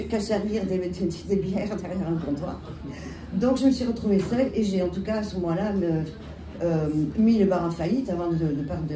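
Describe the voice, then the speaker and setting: Woman with a cold, echoey distant room. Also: medium pitch. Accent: French. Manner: halting, many restarts and fillers. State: has a cold. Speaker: bar owner, panelist. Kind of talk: panel talk, recounting her history. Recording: echoey room, distant mic, loud background noise.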